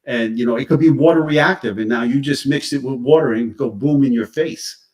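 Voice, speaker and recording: Man, mid pitch, distant mic, casual commentary, methodical, explanatory. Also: brisk, darkish tone. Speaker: live stream panelist.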